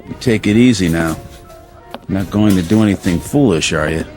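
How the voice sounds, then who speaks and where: An actor playing a man who gently warns an old man not to do anything foolish. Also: sits low, fairly quick, soft background music, natural voice. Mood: concerned. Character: concerned man checking on an old man.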